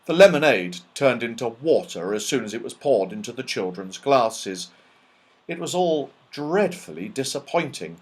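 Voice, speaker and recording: A man reading a children's story aloud as scripted narration, in an expressive, dramatic storytelling manner. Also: medium pitch, normal speed, husky. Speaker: audiobook reader.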